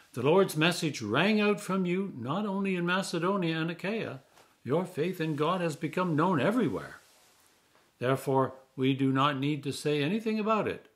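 An older man reading scripture solemnly as a clergyman, with measured, deliberate phrasing and emphatic stresses. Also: a little high. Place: small indoor room.

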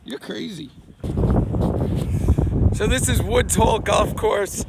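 A young man from Cape Cod, hoarse from a cold, chatting casually as a vlog host into a handheld camera and talking to his dog. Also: high voice, slow, heavy background noise. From Cape Cod, Massachusetts. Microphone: handheld camera at arm's length. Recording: music playing behind the voice.

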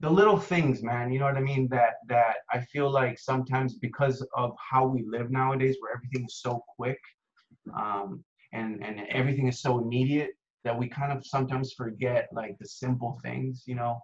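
A man's voice: mid-range voice, fairly even intonation, normal speed. Laid-back man from Costa Rica on a video call. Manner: laid-back, lots of "dude" and "man", many restarts. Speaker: actor, interview guest. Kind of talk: casual interview chat. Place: home room with window, indoors. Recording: dull lo-fi video call audio.

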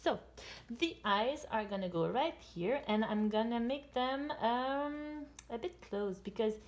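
A woman's voice: fairly high pitch, expressive, measured pace. A woman in her thirties, a children's author and illustrator, explaining her drawing in simple, short phrases as if for young children. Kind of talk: storytime and drawing demonstration for children.

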